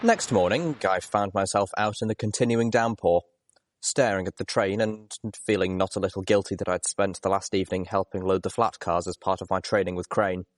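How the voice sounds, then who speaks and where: A man's voice: deep voice, brisk, no echo. A man reading first-person story narration as an audiobook narrator. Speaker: audiobook reader.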